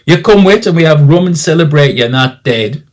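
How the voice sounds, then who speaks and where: Man putting on an excitable, blunt islander. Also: fairly high pitch, moderate pace, natural voice. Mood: friendly, teasing.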